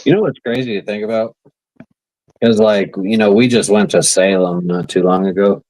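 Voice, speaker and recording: A man with a deep voice banters on a casual podcast. He sounds relaxed, quick to argue a point, and fills his talk with "like". The recording is dry and close. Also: dry recording, poor audio. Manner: relaxed, argumentative, lots of "like". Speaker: podcast co-host. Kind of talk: casual chat.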